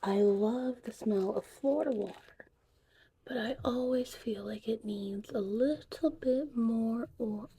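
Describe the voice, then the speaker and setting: Female ASMR host, very mellow and airy, hushed casual chat slipping into whispers, slightly high pitch. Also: a little slow, poor audio. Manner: hushed, breathy, drifting in and out of whispers. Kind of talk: soft-spoken, whispered ASMR tutorial roleplay.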